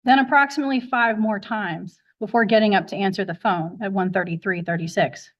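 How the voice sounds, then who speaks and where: A woman's voice: medium pitch, fairly even intonation, fairly quick. A woman speaking as a prosecutor, methodically reading a documented log aloud in formal, factual fashion, recorded with dull courtroom sound.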